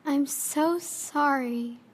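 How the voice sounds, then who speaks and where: A woman's voice: high voice, very slow. A woman voicing a shy, brooding gothic teenage vampire boy in a comic dramatic reading of fan fiction.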